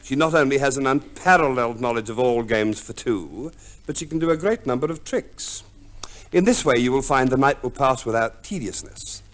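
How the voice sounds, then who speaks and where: An actor playing a fussy, pompous divorce lawyer, formal and precise, in 1950s radio drama style. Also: male voice, medium pitch, lively intonation, moderate pace, close to an everyday voice. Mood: smoothly instructive. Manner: formal, precise, unctuous, hesitant hums. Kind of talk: 1950s radio play acting.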